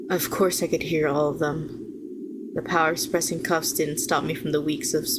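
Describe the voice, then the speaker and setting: A woman playing a weary, bitter telepathic villain who was once a hero, speaking to the listener in a sardonic, deliberate way in an audio roleplay. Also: sits low, heavy background noise, close to an everyday voice. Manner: deliberate, sardonic, rhetorical questioning, condescending endearments.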